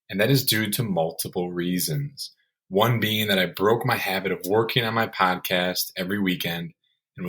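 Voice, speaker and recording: A man's voice: sits low, a bit bright. A man presenting a podcast in a scripted narration style, as its host.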